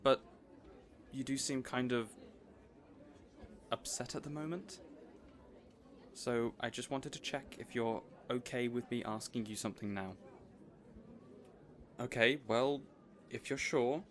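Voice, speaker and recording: Guy playing a sweet boyish villain boss, kinda rambly n hesitant, excitable, audio rp. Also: medium pitch, slow, long pauses, natural voice. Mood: tentative. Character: eager, boyish supervillain boss, caring and sweet-toothed. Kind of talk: audio roleplay acting.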